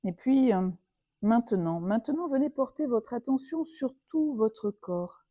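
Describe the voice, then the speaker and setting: A woman yoga teacher leading a guided relaxation meditation in a soothing, unhurried manner, leaving long pauses. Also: a little high, expressive, dark tone, no echo, lo-fi sound.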